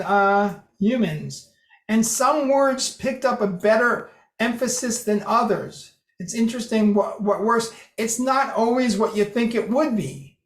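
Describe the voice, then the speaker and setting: A presenter at a club meeting explaining a code demo in a loose, casual way, often restarting sentences. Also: mid-range voice. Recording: roomy echo.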